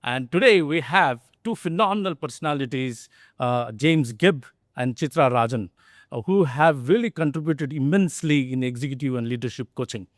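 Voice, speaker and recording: A man's voice: medium pitch, lively intonation, moderate pace, no room sound, studio quality. A middle-aged man hosting a business podcast, introducing guests earnestly with many "uh" fillers. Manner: earnest, with frequent "uh" fillers and "really". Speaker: podcast host, company CEO.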